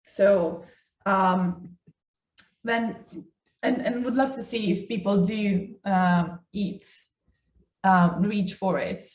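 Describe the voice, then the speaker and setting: Echoey woman, deliberate and emphatic. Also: medium pitch, darkish tone. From Ukraine. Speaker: doctor co-hosting a health talk. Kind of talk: conversational health talk to an online audience. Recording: echoey room, distant mic.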